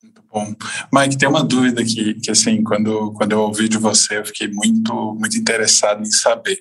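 A man hosting a podcast, opening the show and asking questions in a friendly, energetic way. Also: fairly low pitch, fairly quick, husky, rough recording. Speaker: podcast host.

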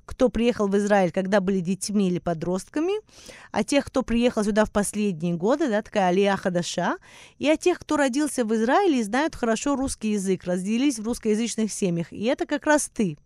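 A woman's voice: medium pitch, animated, fairly quick, a little mellow, dry recording, studio quality. A woman hosting a podcast in a conversational interview style. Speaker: podcast host.

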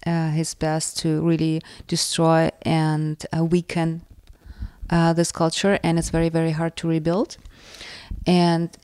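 A woman from the former Soviet Union, a native Russian speaker with a Russian accent, answering interview questions in a reflective way and often pausing to search for words. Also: deep voice, monotone, a little mellow, clear, resonant tone, no room sound. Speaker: author and interviewee.

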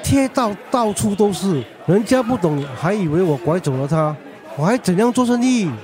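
A man's voice: high voice, expressive, moderate pace, a little mellow, a faint crowd. A man acting an irritated, scolding shopkeeper in a radio drama. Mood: annoyed. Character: irritated male shopkeeper threatening police.

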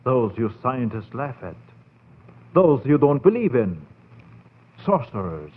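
Sly, husky, proud doctor. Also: male voice, medium pitch, sing-song, slow, exaggerated voice. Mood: sly, tempting. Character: sly, proud German doctor tempting a colleague with sorcery. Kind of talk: 1940s radio horror acting. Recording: tinny phone-like 1940s radio recording.

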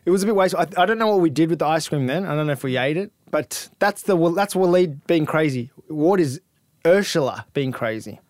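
A man's voice: on the high side, animated, brisk, darkish tone, no room sound, clean studio sound. Teasing man in his thirties. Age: 30s. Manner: teasing, playful. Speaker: podcast co-host. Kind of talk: casual comedic chat.

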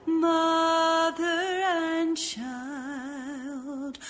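A woman singing a Christmas carol slowly. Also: very high-pitched, level tone, soft background music. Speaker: singer.

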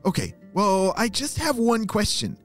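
A woman's voice: medium pitch, huge pitch swings, unhurried, soft background music, pitched above the performer's own voice. A woman voicing an eager, curious young boy in a children's story, with exclamations and hesitant stammers. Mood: nervous, curious.

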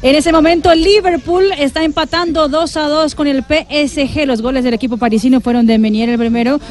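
A man with an Argentine accent reading match scores on a sports radio show, steady and informative. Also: very high-pitched, fairly quick.

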